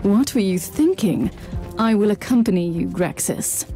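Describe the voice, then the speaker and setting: A humorless female inquisitor from a grim sci-fi game, used to giving orders. Her mid-pitched voice is curt, formal and commanding, with music clearly audible behind it. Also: expressive, unhurried. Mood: impatient. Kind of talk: sci-fi video game voice acting.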